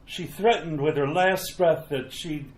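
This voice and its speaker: A man's voice: fairly high pitch, measured pace, natural voice. A man playing an uneasy, folksy graveyard caretaker who stammers in a retro radio mystery. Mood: grim storytelling. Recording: roomy echo, noticeable background noise.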